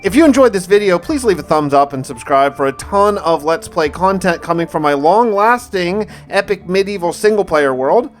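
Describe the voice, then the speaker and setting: A man's voice: high voice, lively intonation, moderate pace, hardly any pauses, soft background music. A man hosting a gaming video, telling a dramatic first-person story of his play in a theatrical, in-character way. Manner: theatrical, in-character roleplay delivery with repeated phrases.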